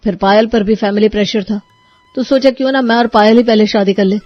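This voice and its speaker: A woman's voice: medium pitch, fairly even intonation, brisk, no room sound, dull audio, close to an everyday voice. A woman voicing an earnest, plain-spoken young man in a cartoon story. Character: earnest young man, a brother planning his wedding.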